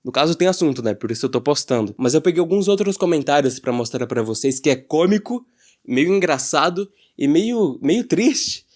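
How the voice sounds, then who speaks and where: Brazilian guy doing casual youtube commentary, voice a bit on the high side, lots of slang. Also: expressive, moderate pace, a little mellow. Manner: informal, slangy.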